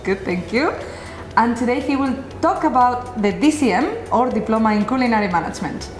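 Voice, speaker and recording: A woman's voice: fairly high pitch, expressive, brisk. A young woman hosting an interview, politely reading her questions from a card. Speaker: interviewer, host. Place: café-style room with sofa, indoors. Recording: roomy echo with music underneath.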